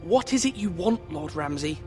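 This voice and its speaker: A man's voice: on the high side, animated, moderate pace, faint music behind, close to an everyday voice. An actor playing an earnest young boy lord trying to stand firm in a fantasy game, polite and formal. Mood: guarded.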